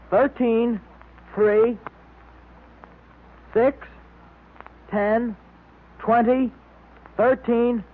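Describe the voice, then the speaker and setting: A performer playing an eager, earnest boy club leader on a vintage radio show, speaking deliberately. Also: very high-pitched, husky, heavily acted. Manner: deliberate, reads out codes word by word. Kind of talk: 1930s radio club announcements. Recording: tinny old radio recording.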